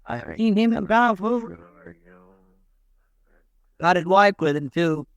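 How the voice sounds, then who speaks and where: A folksy, eager frontier deputy in an old radio western, with a high, twangy voice. He rambles on in plain rustic talk. The sound is dry and close. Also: takes it slowly, vocal fry, a bit bright, no room sound, heavily acted. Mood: chatty. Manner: twangy, rustic grammar, talkative. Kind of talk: 1950s radio drama acting.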